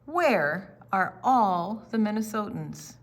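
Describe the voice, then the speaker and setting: Slow, lively woman reading to children. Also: medium pitch. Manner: question-and-answer delivery aimed at young children. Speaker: children's storytime reader. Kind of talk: expressive picture-book read-aloud.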